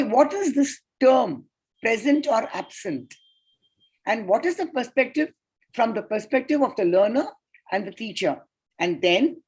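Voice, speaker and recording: Tamil-native woman presenter, husky, fairly high, unhurried and methodical. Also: darkish tone, rough recording. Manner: measured, deliberate lecturing with enumerated points. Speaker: conference paper presenter. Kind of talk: academic conference presentation. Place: online conference session.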